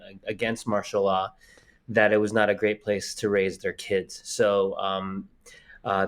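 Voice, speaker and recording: A man in his forties, born in the Philippines and raised in Virginia, speaking. He is an actor talking about his life in an interview. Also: on the low side. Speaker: guest actor. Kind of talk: interview conversation.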